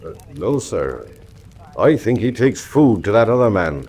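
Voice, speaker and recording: Subdued, wary manservant, dark voice. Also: male voice, fairly low pitch, very animated, unhurried. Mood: uneasy, deferential. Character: deferential, uneasy English manservant. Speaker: actor in radio drama. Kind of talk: classic radio drama acting.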